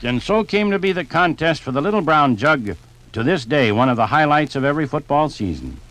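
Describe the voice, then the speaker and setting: A man narrates sports history for 1950s radio. His voice sits a little high and rises and falls with plenty of animation, in a scripted broadcast manner. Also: very animated, a little fast, fairly bright tone, poor audio.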